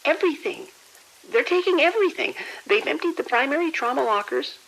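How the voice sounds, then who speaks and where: An actor playing a frightened, timid male hospital pharmacy worker in an audio drama, halting, stammering and hushed. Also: high voice, lively intonation, a little hiss or hum, poor audio. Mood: panicked.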